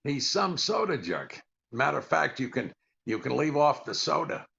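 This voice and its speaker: A man playing a wry, long-suffering straight-man husband in 1940s radio comedy style, gravelly, dry and hesitant. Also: fairly high pitch, moderate pace, full character voice. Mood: wry, puzzled. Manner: dry, hesitant, deadpan delivery. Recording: dull lo-fi audio.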